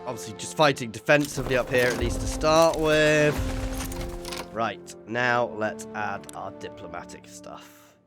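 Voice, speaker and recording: A man hosts a casual strategy game playthrough over faint background music. His voice sits at an average pitch. He thinks aloud as he plays and is given to playful exclamations. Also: measured pace. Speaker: gaming video host. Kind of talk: casual let's play commentary of a strategy game.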